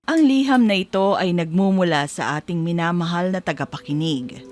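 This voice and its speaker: A woman's voice: lowish voice, moderate pace, darkish tone, dry recording, faint music behind, lightly acted. Woman acting as sincere Filipino letter-reading narrator. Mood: sincere, measured. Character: sincere female letter reader of a drama anthology. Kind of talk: scripted audio drama narration.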